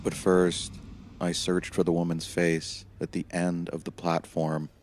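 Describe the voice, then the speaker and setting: A man playing a weary, haunted time-traveling prisoner who narrates his memories in a measured, somber, reflective way, as in an audio play. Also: low-pitched, little pitch movement. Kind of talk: scripted first-person dramatic narration.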